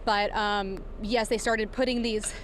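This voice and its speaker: A woman working as a field news reporter, giving a live breaking report in a halting, improvised, explanatory way from outdoors. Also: mid-range voice, steady tone. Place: outdoors outside a warehouse. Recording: clear background noise outdoors.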